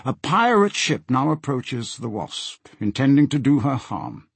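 A man's voice: mid-range voice, big pitch swings, unhurried, creaky, slightly rough, dry recording, heavily acted. A man voicing a tall, intense diplomat in a storybook, formal, urgent and insistent. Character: tall, intense, impatient male diplomat on secret mission.

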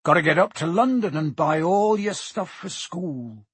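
A man voicing a gruff, friendly giant gamekeeper, hearty and proud, with husky, folksy, colloquial speech. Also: fairly high pitch, expressive, measured pace, fairly bright tone, no echo, pitched above the performer's own voice, exaggerated voice. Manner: folksy, colloquial, dropped words.

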